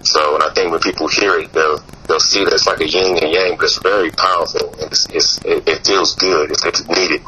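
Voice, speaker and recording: A man's voice: very deep voice, steady tone, a little fast, few pauses, pushed and bright, slight background noise, poor audio. A young man from Mississippi, a musician guest in a casual interview, talking loosely with many fillers and restarts.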